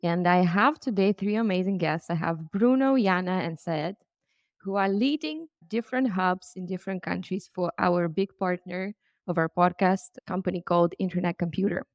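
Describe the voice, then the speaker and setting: A woman hosts a podcast in a low voice with a gravelly edge. She sounds upbeat and welcoming. The recording is close and dry, with no room sound. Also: moderate pace, no echo, highs cut off. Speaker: podcast host. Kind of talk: hosting a podcast interview.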